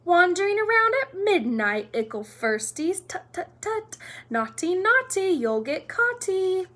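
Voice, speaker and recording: A woman's voice: very high voice, big pitch swings, a little slow, fairly bright tone, pitched above the performer's own voice, full character voice. A woman voicing a mischievous, childish male poltergeist in a teasing sing-song manner for a storybook reading. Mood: taunting.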